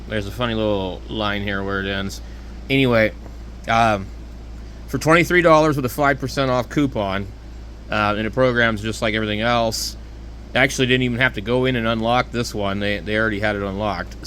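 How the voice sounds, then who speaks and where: Man, medium pitch, casual off-the-cuff product review, meandering delivery, steady noise in background. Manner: rambling, halting, plainspoken. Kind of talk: unscripted, casual radio gear review.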